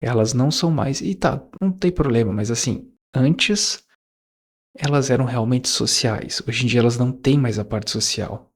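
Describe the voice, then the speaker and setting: A man's voice: medium pitch, normal speed. A man, talking casually to his viewers in a reflective monologue, rambling with fillers and self-corrections. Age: 20s. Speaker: YouTuber.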